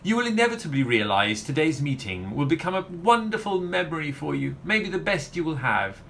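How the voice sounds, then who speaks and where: A man's voice: fairly high pitch, sing-song, a little fast, vocal fry, fairly bright tone, heavily acted. Guy doing a pompous smug novelist, flowery and up n down, comedy radio play, slight noise. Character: pompous, self-satisfied male novelist, condescendingly charming. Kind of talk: family comedy audio play acting.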